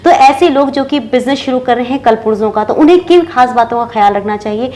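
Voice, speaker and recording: A woman's voice: a little high, a little fast, a little mellow. A woman hosting an interview show, putting long-winded questions to her guest. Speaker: programme host interviewing an astrologer.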